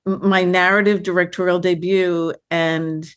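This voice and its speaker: A woman filmmaker being interviewed, telling stories about her work in a lively, chatty way with many fillers and restarts. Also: fairly low pitch, normal speed, smooth. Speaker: filmmaker guest. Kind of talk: conversational interview.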